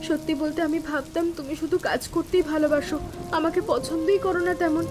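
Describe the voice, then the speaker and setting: A woman playing a timid, grateful younger sister-in-law in an animated family drama, speaking in an earnest, emotional, deferential way. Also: high voice, brisk, close to an everyday voice. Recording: music bed with rain sounds.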